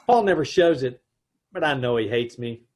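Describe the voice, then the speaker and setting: A man's voice: mid-range voice, animated, moderate pace, darkish tone. Wry man narrating radio comedy. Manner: dry wry delivery with chuckles. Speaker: narrator and husband character. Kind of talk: old-time radio comedy reading. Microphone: home mic on video call.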